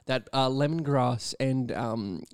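A young man with an Australian accent hosting a comedy podcast, playful and deadpan, often trailing off mid-thought. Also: medium pitch, takes it slowly, smooth, no room sound, clean studio sound. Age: young adult. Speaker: podcast host.

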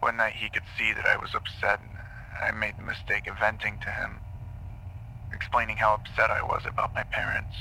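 An actor playing a troubled man who haltingly recounts a tormented childhood to a therapist in an audio drama. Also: on the low side, monotone, bright, forceful tone, slight background noise, low-quality recording. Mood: bitter regret. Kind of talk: audio drama monologue on taped therapy session. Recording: old cassette tape playback.

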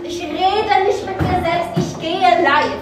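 A woman's voice: very high voice, slow. A woman playing a spoiled, self-absorbed young influencer in a live theatrical show, flippant and mocking. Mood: snotty, mocking. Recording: distant, echoey noisy stage recording.

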